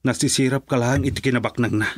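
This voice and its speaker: An actor as a poor young farm man who has been jilted, speaking earnestly with an Ilocano Filipino accent. His voice is mid-pitched and recorded very dry, in radio drama style. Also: steady tone, fast, no echo, lightly acted. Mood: hurt, pleading.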